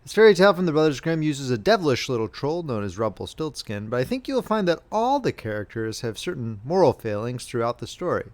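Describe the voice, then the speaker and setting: A man narrating as an audiobook reader, telling a story in an expressive, measured, scripted style. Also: mid-range voice.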